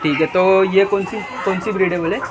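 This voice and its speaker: A young man talks casually to a phone camera in a farm shed. He is chatty, keeps saying the same filler word, and echoes back every answer he gets. Chickens cluck clearly behind him the whole time. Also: sits high, fairly even intonation, brisk, background noise. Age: young adult. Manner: chatty, fills with "matlab" often, repeats answers back. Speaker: vlogger. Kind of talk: casual walkthrough interview. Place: outdoor poultry farm sheds. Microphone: handheld phone camera.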